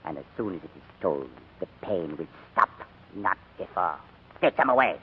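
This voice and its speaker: An actor playing a menacing, coldly polite Gestapo interrogator with sardonic, deliberate delivery in a 1940s radio drama. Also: male voice, low-pitched, expressive, a little hiss or hum. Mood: cold menace. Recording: muffled vintage 1940s radio recording.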